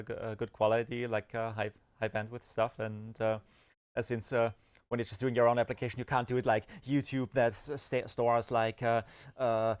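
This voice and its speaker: A man chatting on a livestream, his voice smooth and clear in an even middle register. He talks through technical details in long, halting runs, full of filler sounds and restarts. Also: mid-range voice. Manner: rambling technical talk, many fillers and restarts. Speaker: developer and podcast co-host. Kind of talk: casual livestream chat.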